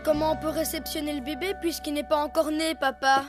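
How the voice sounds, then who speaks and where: A woman's voice: high voice, little pitch movement, fairly quick, natural voice. A woman voicing a sensitive, eager young boy turtle with a childlike, plaintive manner in a French cartoon dub. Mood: puzzled. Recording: music behind the voice.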